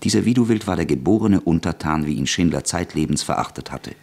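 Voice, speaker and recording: A man narrating a literary radio play as a scripted storyteller, with a husky voice. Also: deep voice, expressive, fast, darkish tone.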